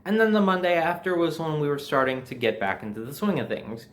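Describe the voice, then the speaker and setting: A man's voice: on the high side, fairly quick, fairly bright tone. A young adult man with an American accent tells a personal story in a casual, conversational and emphatic way. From New Jersey, United States. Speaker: storyteller, host.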